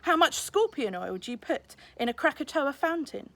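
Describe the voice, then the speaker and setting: A woman's voice: high voice, big pitch swings, moderate pace, fairly bright tone, pitched above the performer's own voice, exaggerated voice. A woman voicing a stern, fretful father who is a master craftsman, blustering and exclaiming as in a storybook. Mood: dismissive, challenging.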